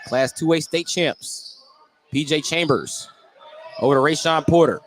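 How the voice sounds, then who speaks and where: An American man doing live play-by-play basketball commentary for radio, getting excited on big plays. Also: fairly high pitch, unhurried. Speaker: play-by-play sports announcer. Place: indoor basketball gym. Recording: noisy live gym broadcast. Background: loud gym ambience.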